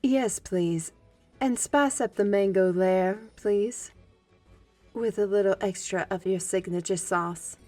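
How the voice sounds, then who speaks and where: A woman's voice: mid-range voice, slow pace, a little mellow, close to an everyday voice. Woman playing genteel half-beast scholar woman, music behind. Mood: teasing, polite. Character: learned half-blood animal woman, former slave, genteel and gracious. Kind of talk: fantasy audio drama acting. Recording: background music under the voice.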